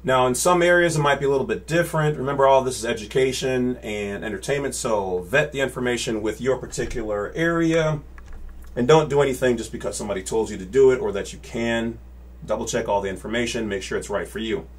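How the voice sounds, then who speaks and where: A man's voice: mid-range voice, fast. A man in his forties explaining to a webcam in his home office, gesturing with his hands and checking in with "all right?" and "okay?" after his points. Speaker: channel host. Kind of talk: casual explainer to camera. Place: home office with bookshelves and monitors. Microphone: webcam at desk.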